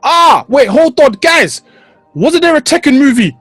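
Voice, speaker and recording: A young man with a London accent and a husky voice, chatting casually as a podcast co-host, slangy and repeating himself for emphasis. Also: very high-pitched, very animated, measured pace, a bit bright, dry recording, faint music behind. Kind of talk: casual banter about films and games.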